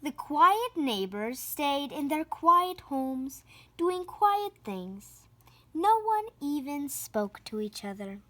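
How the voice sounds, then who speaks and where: Female storybook reader: high voice, very unhurried, theatrical, leaning into the sound words. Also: very animated, fairly bright tone, no room sound. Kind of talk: expressive children's picture book read-aloud.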